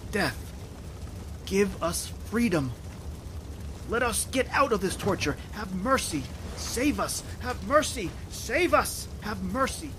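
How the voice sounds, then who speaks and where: A man's voice: high-pitched, background noise. A man playing a doomed, tormented uncle in an old-time radio horror drama, with grave, pleading melodrama. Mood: desperate pleading. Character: doomed, tormented uncle trapped in a haunted shadow world.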